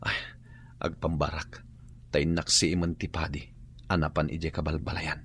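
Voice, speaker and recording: Deep-voiced, shifty Ilocano bandit underling. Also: male voice, little pitch movement, moderate pace, a bit bright, breathy edge, no room sound, exaggerated voice. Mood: furtive. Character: shirking, scheming bandit underling feigning sickness. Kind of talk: Ilocano radio drama acting.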